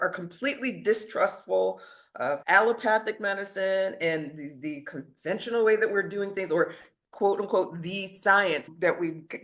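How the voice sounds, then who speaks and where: Bright-voiced woman in podcast discussion. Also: medium pitch. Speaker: podcast guest, physician. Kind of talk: conversational discussion.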